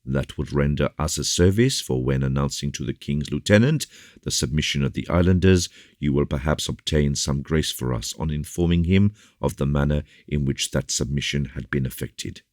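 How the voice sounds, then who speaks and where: A man voicing a solemn, commanding bishop who speaks with grave, measured courtesy in an audiobook. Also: rumbling low, a little mellow, no echo, studio quality. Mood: courteous. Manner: grave, measured rhetoric with repeated appeals. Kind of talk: audiobook character dialogue.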